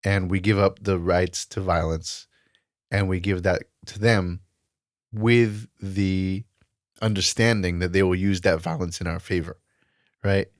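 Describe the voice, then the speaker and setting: A man's voice: sits low, flat delivery, measured pace, no echo, studio quality. A man co-hosting a podcast in casual discussion, explaining things through examples and analogies. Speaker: podcast co-host.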